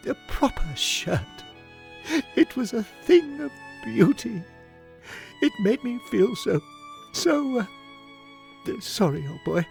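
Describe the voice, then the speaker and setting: Swooping, effusive old Victorian gentleman. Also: very high-pitched, very animated, slow, pauses often, full character voice. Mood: swooning, sentimental. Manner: dithering, old-chum endearments, breathy swoons. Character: effusive elderly Victorian gentleman football club founder, fussy, sentimental. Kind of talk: dramatic audiobook monologue. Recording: music behind the voice.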